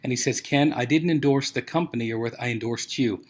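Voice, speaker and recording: A man's voice: medium pitch, brisk. An American man presenting in a casual motivational sales talk, with a conversational, rambling storytelling manner. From United States. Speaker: presenter of a company overview.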